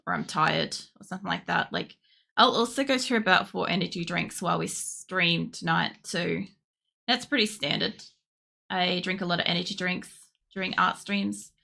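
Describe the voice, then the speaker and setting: A tired young woman streamer chatting casually while she draws, with a croaky voice and a rambling way of talking full of fillers. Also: on the low side, pushed and bright. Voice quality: croaky, gravelly. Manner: rambling, with many fillers and restarts. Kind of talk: casual chat during a drawing stream.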